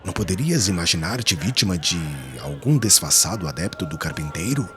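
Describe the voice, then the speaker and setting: A man acts out a proud Pharisee with a very low voice and lively, swooping intonation. He is haughty and offended, questioning with scornful disbelief in an audiobook drama, over music. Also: fairly quick. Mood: scornful, reproachful. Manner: haughty, incredulous, rhetorical questioning.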